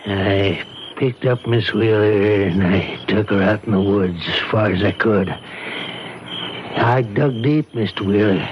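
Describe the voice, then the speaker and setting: A man playing a simple, fearful rustic cabbie and hired killer, halting and plain-spoken, in a radio drama. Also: lowish voice. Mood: uneasy. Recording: noisy old radio drama recording.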